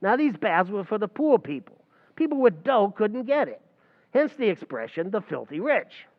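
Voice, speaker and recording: A brash New bartender lectures like a know-it-all and keeps mangling his big words, as a man plays him. His voice runs high and bounces all over, with a gravelly rasp. The sound is dull and lo-fi, like an old-time radio comedy. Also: sing-song, normal speed. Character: fast-talking, know-it-all New York bartender Archie.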